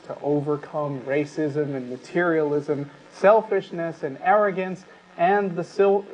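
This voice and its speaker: A man co-hosting a talk show, speaking in a deliberate, emphatic, articulate way, whether reading aloud or giving his opinion. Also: fairly high pitch, lo-fi sound. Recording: steady background noise.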